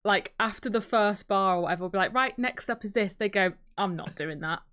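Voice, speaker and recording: A woman co-hosting a podcast, chatting informally at a middle pitch. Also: fairly quick, a bit bright. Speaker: podcast co-host. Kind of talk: casual pop culture chat.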